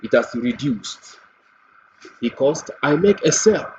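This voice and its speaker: Nigerian man demonstrating software, dull lo-fi sound. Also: medium pitch, lively intonation, unhurried. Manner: step-by-step explaining. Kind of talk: screen-recorded product walkthrough, unscripted. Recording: dull lo-fi mic with faint noise.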